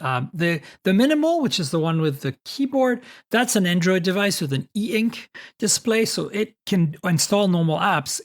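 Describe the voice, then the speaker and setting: A podcast host in their fifties talks tech in an easy, conversational manner. The voice sits mid-range, and sentences often end with a quick "right?" to the guest. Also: medium pitch. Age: 50s. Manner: conversational, tags questions with "right?". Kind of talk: casual podcast interview.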